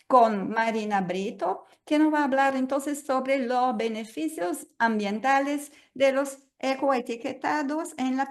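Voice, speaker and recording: Slow-spoken woman moderating a webinar. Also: on the high side. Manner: measured, explanatory, many "entonces". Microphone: video call.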